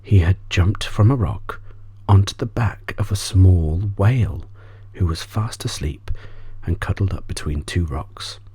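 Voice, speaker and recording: A man reads an audiobook as an ASMR bedtime story, soft-spoken and often trailing off into a whisper. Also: low voice, a little slow, few pauses, husky, dry recording. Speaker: audiobook reader.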